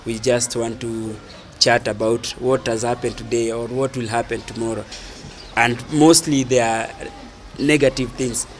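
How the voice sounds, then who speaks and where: A young man with a Kenyan accent answering an interview casually, in short halting phrases. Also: mid-range voice, level tone. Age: young adult. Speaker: youth workshop participant being interviewed. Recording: faint outdoor urban noise.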